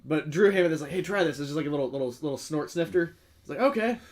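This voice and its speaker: A man's voice: a little high, expressive, racing, darkish tone. A man co-hosting a casual, joking beer-review podcast, telling stories by acting out bits of dialogue. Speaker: podcast co-host.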